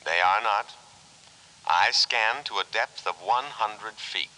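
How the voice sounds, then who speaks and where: An actor playing an officious, menacingly solicitous robot in a 1950s radio drama, speaking formally and without emotion. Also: male voice, fairly low pitch, takes it slowly, pushed and bright, exaggerated voice, low-quality recording. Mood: officious, unruffled. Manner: formal, precise, unemotional delivery. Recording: hissy 1950s radio recording.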